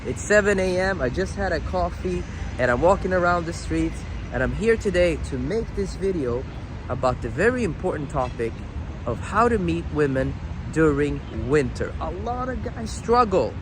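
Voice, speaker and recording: A high-voiced man from Sweden vlogs on a noisy city street. He talks in casual slang with a very lively, swinging pitch, repeating phrases for emphasis like a coach. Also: normal speed. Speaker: dating coach and vlog host. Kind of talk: casual vlog talk addressed to viewers. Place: outdoors walking on city streets.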